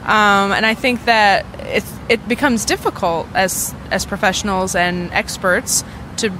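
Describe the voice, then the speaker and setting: A woman's voice: mid-range voice, steady tone, measured pace. A woman physical therapist in an outdoor interview, thoughtful and searching as she explains her ideas. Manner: thoughtful, searching, with fillers and restarts. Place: outdoors in a city, kids playing nearby. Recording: street noise behind.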